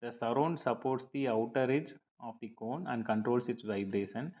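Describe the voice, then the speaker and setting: A dull, lo-fi recording carries a man's mid-pitched voice. He reads a prepared lecture as a teacher would, explaining point by point in a measured, slightly halting manner. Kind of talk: scripted lecture narration over slides.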